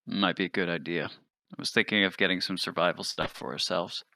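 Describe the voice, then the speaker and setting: A man's voice: deep voice, steady tone, brisk, vocal fry, pushed and bright, dry recording. A man playing a tabletop role-playing game, chatting casually in character.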